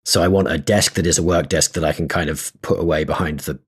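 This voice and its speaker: A man's voice: very deep voice, steady tone, fast, husky. A man co-hosting a podcast in casual chat, speaking informally with a hesitant, self-deprecating manner. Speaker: podcast co-host, programmer. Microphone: microphone on a boom arm.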